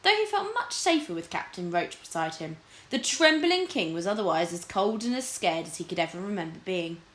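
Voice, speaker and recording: A woman's voice: on the low side, very animated, fairly quick, fairly bright tone. A woman narrating a children's storybook expressively, like a teacher reading aloud. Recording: echoey room.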